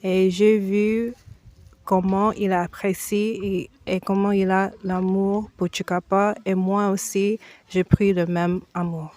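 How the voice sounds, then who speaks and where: A woman from the United States, answering a TV interviewer's questions slowly and with many hesitations. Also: mid-range voice, little pitch movement, a little mellow, no echo. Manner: slow, halting, with frequent hesitations and restarts. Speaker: foundation president, guest.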